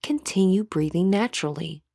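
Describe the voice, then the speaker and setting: A woman with a breathy voice gently leading a guided meditation as an instructor, in measured, scripted phrases. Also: fairly low pitch, lively intonation, no echo, studio quality.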